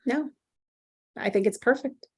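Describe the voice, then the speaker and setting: A woman playing a composed, probing psychiatrist in a screenplay table read, speaking in a deliberate, clinical way. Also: fairly high pitch, a little mellow, breathy edge. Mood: calm, authoritative. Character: composed, probing female psychiatrist, coolly authoritative.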